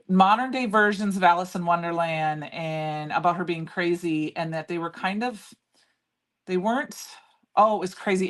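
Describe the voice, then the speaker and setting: A middle-aged woman with a loud voice, chatting and rambling as she demonstrates a craft project live and answers viewers by name. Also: lowish voice. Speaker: crafting livestream host. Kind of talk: casual chat while demonstrating crafts.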